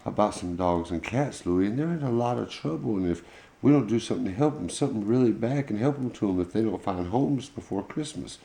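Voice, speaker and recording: A man voicing a calm, caring monkey hero who explains things earnestly in a bedtime story. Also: on the low side, fairly quick, vocal fry, reverberant. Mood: concerned. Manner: matter-of-fact, earnest explaining.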